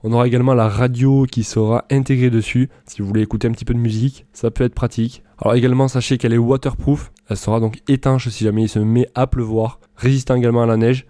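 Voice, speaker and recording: A man's voice: mid-range voice, flat delivery, fast, few pauses, mellow, no echo. A man presenting a product comparison in a casual, loosely improvised voice-over, explaining things informally.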